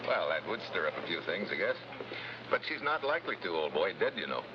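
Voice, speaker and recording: Tough american spy, super low voice, dry and wry, muffled old tv show. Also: male voice, big pitch swings, fast talker, background noise. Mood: wry, sardonic. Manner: sardonic, clipped hard-boiled delivery. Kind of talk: 1950s hard-boiled adventure dialogue and narration. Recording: muffled old TV soundtrack, loud music.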